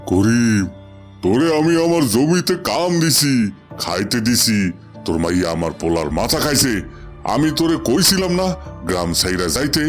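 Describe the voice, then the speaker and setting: A man's voice: mid-range voice, sing-song, measured pace, faint music behind. A man voicing a menacing, commanding village headman and wealthy angry father in a cartoon. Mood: threatening. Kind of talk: cartoon voice acting.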